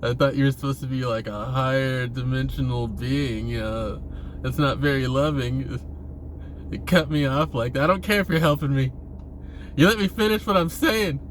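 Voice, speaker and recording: A man giving a casual, rambling monologue to viewers, halting and repeating himself, with informal, sweary phrasing. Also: medium pitch, a little hiss or hum. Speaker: vlogger.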